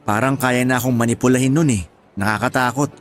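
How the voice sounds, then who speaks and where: A man's voice: medium pitch, fairly even intonation, quick pace, faint music behind, lightly acted. A man playing a charming, flirtatious younger man who jokes a lot. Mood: joking. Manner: smooth, joking, flirtatious. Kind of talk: radio drama acting.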